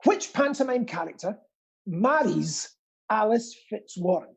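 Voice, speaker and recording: A man's voice: high-pitched, expressive, unhurried, gravelly, breathy edge. A middle-aged British man co-hosting a live quiz, with casual banter and a theatrical habit of repeating phrases. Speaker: quiz co-host and pantomime dame performer.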